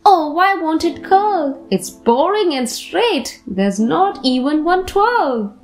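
Woman voicing a high, sulky little girl. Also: sing-song, takes it slowly, exaggerated voice. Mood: whiny, sulky. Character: sulky, dramatic little girl wishing for curls.

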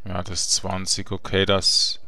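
A man giving relaxed, chatty gameplay commentary with slangy asides. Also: low-pitched, takes it slowly, creaky, soft background music. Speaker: let's play gamer.

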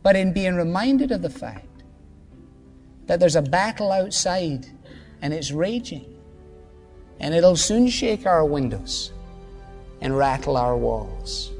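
A man's voice: a little high, lively intonation, slow, pauses often, in a large hall, soft background music. A man preaching a sermon as pastor, emphatic and full of rhetorical questions.

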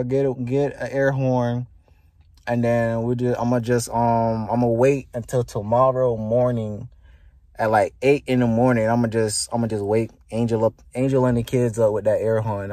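A man's voice: medium pitch, dark tone, no echo. Young American man vlogging, dry close sound. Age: young adult. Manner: slangy, playful, boastful. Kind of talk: casual chat to camera. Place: inside a parked car, later a bedroom. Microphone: phone at arm's length.

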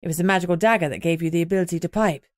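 A woman's voice: on the low side, rapid-fire, dry recording, studio quality, close to an everyday voice. A woman voicing a proud, battle-ready female wolf spirit in an audiobook, assured and reassuring. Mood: thoughtful.

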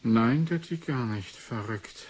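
Radio play investigator: thoughtful man in charge, composed, rough-edged husky voice. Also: mid-range voice, animated, slow pace, darkish tone. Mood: musing, composed. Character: male investigator, thoughtful, composed superior to his female assistant. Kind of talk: German radio play acting.

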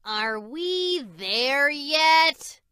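A woman's voice: sits high, expressive, extremely slow, sharp tone, no echo, clean studio sound, pitched above the performer's own voice, full character voice. A woman voicing whining, grumbling pirate ship cats in a children's storybook read-aloud. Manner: whiny, complaining.

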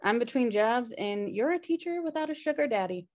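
A woman's voice: on the high side, lively intonation, a little fast, darkish tone, lightly acted. A woman playing a chill, messy, sarcastic twin sister in a comedy table read on a video call. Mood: wry, exasperated. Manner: wry, sarcastic. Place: home room, video call.

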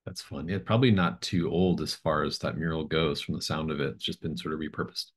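A middle-aged man runs a tabletop game in a very low voice. He describes scenes off the cuff, pausing as he thinks aloud. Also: fairly even intonation, slightly rough. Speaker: game master. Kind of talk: narrating a tabletop game, improvised. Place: home room with bookshelves, video call.

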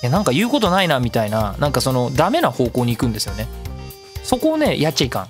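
A man presents a casual web radio show on his own at a quick pace. His pitch swings around in a very animated way as he explains things emphatically, in a chatty style. Music plays behind his voice. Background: background music.

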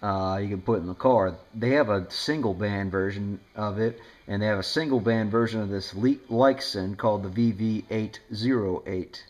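A man with a low-pitched voice talks in a casual, conversational way as an amateur radio operator. He is indoors at home, chatting with another operator about gear. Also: moderate pace, few pauses. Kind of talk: casual two-way radio chat.

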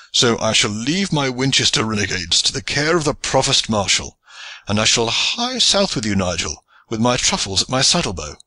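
A man's voice: mid-range voice, pushed and bright, breathy edge, dry recording, heavily acted. A man voicing a sturdy, jovial old knight with a boisterous, hearty way of talking.

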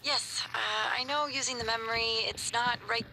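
A woman's voice: fairly high pitch, fairly even intonation, normal speed, background noise, natural voice. A woman playing an earnest, cautious young station crew member in a video game. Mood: nervous, apologetic.

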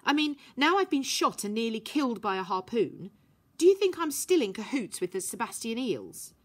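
A woman's voice: moderate pace, slightly rough. A woman voicing an earnest young runaway girl in an audiobook, breathless and emphatic. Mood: eager. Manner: breathless, emphatic, high and excitable.

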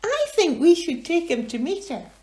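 A woman voicing a small, excitable haggis creature who splutters when flustered. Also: very high-pitched, moderate pace, reverberant, pitched above the performer's own voice. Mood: eager.

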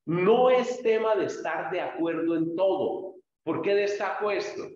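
A man, a pastor, teaching a church group, explaining patiently and speaking to listeners directly by name. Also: high-pitched. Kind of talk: instructional talk to a small group. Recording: very echoey, dull lo-fi room.

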